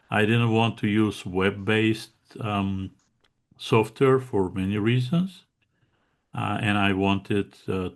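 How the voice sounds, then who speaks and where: A Bulgarian-accented man speaks in a deep voice as an interview guest. He chats casually and laughs easily, and his sentences are full of fillers and restarts. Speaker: guest contributor.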